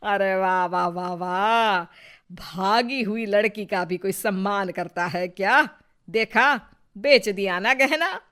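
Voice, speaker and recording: A woman's voice: medium pitch, slow, fairly bright tone. A woman playing a bitter, suspicious older Indian mother-in-law who taunts and needles in a Hindi moral story. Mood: mocking. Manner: sarcastic, needling.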